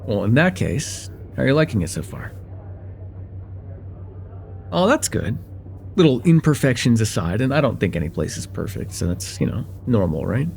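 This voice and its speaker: A man playing a friendly young college guy, lively pitch swings. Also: normal speed, vocal fry, long pauses, slight background noise. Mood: relaxed, chatty. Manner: casual, hesitant, teasing, with soft chuckles and hums. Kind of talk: slice-of-life ASMR roleplay, one-sided conversation with the listener.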